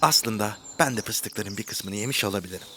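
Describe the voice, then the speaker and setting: A man's voice: fairly low pitch, a little fast, pushed and bright, slight background noise. A man voicing a small, nervous, indignant mouse in a children's cartoon, with fussy, theatrical delivery. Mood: friendly. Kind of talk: animated children's cartoon dialogue. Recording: music bed behind the voice.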